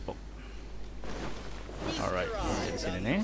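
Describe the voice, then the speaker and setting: A man's voice: low voice, expressive, measured pace, gravelly, heavy background noise. A man with an Indonesian accent doing casual, playful, slangy commentary as the host of a game playthrough. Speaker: gaming video host. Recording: game music behind the voice.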